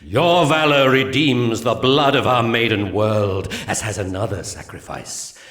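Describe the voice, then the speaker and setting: A man's voice: lowish voice, a little slow, fairly bright tone. A man voicing a solemn alien seer commander in a 1999 strategy game, delivering mission briefings in a grave, ominous, formal manner. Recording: echoey, distant game cutscene audio.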